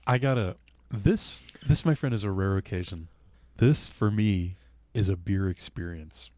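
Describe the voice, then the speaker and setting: A man's voice: deep voice, measured pace, darkish tone, dry recording. A man co-hosting a casual beer tasting, raving enthusiastically about what he smells and tastes. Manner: enthusiastic, effusive. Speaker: beer reviewer, co-host.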